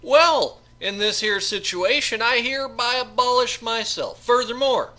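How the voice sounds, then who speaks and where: A man's voice: very high-pitched, unhurried, bright, forceful tone, voice pushed up, full character voice. A man voicing a cynical prison guard who drawls folksy, mock-reasonable threats in an audiobook. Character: menacing male Southern prison guard, drawling, cynical, bored.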